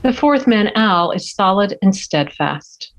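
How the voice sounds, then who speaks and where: Mid-pitched woman narrating a screenplay. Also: expressive, measured pace, dull audio. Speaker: narrator reading stage directions.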